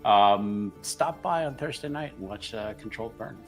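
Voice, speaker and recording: Male host, lowish voice, informal halting promo to the audience, faint guitar music behind. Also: expressive. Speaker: show host. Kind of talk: casual promo announcement to viewers.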